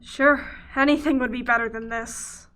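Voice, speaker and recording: Bright, high-voiced laconic young colleague, acted by a woman. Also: fairly even intonation, moderate pace. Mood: flat, curious. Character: laconic junior colleague named Junie. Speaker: actor in a radio play. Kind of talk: amateur radio play acting.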